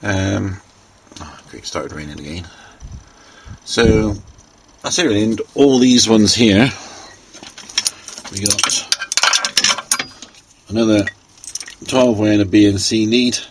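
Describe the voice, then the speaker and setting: A man giving an informal hands-on technical walkthrough, unscripted and halting as he thinks aloud. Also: fairly low pitch. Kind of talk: informal hands-on show-and-tell narration. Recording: clearly noisy handheld amateur recording.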